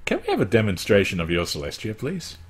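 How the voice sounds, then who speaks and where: A man with a very low bass voice and a husky, slightly rough tone hosts a relaxed chat. He jokes playfully between questions. His speech stays easygoing. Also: quick pace. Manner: playful, joking asides. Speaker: interview host. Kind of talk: casual interview.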